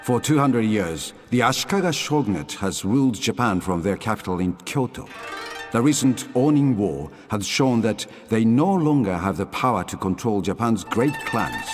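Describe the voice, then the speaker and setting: Actor as animated, solemn samurai history narrator. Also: male voice, lowish voice, normal speed, soft background music, natural voice. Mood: grave. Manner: solemn, emphatic storytelling. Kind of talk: dramatic scripted video game cutscene narration.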